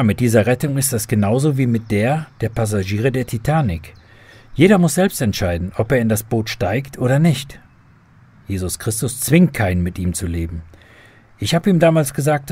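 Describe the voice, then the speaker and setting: Husky, earnest man acted in radio drama. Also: medium pitch, a little mellow. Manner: earnest, explanatory. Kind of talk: scripted children's radio drama.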